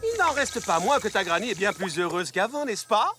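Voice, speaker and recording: A man's voice: high-pitched, sing-song, fairly quick. A man voicing a sly, grandiose huckster pony, mock-courteous, in a French cartoon dub. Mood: sly, persuasive. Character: sly, theatrical male huckster pony, falsely polite. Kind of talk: animated cartoon dubbing. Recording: background music under the voice.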